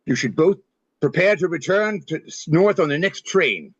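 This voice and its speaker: A man acts out a stern American Civil War general with a slightly high voice. He is formal, clipped and commanding, as in a stage play reading. The sound is dull and very dry. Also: lively intonation, moderate pace, slightly rough, no echo. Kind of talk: amateur play table reading. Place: online video-call reading.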